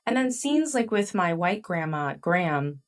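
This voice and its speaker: Animated storytelling woman, low voice. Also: a little slow, distant mic. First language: Chinese. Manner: animated storytelling with frequent "like" fillers. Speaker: guest author. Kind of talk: candid interview conversation.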